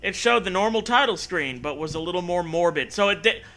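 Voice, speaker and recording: A high-voiced man with a very sharp, forceful tone reads a story aloud for comedy. He breaks off often to riff on it, sarcastic, profane and exclamatory. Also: lively intonation, fairly quick. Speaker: comedy show host reading a story aloud. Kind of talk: mocking read-aloud with casual riffing.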